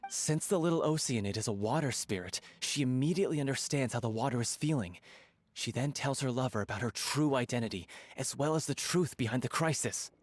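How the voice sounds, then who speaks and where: A man voicing an excitable playwright in a theater troupe in a video game, retelling a story with eager animation. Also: mid-range voice, a little fast, dry recording, lightly acted. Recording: faint game music behind.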